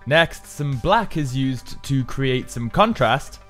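A man's voice: mid-range voice, animated, slow, over music. A man giving an upbeat, explanatory voice-over for a build tutorial, as the maker himself. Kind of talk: scripted tutorial narration.

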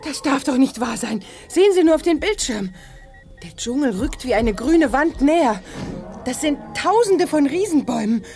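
A young adventurer in a science-fiction radio play. Her voice is on the high side and full of concern, with faint music behind it. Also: animated, moderate pace, creaky, a little mellow. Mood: alarmed. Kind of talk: 1980s science-fiction audio drama acting.

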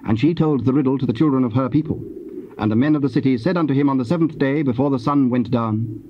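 A man giving a solemn, measured scripted narration of scripture. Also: mid-range voice. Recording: muffled, noisy old cassette transfer.

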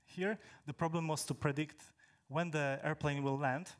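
Young man, from Poland, native Polish speaker, conference talk, handheld mic, large hall, halting, frequent fillers. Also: on the high side, fairly even intonation. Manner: halting, many fillers and restarts. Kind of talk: technical talk to an audience. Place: large hall with stage, indoors.

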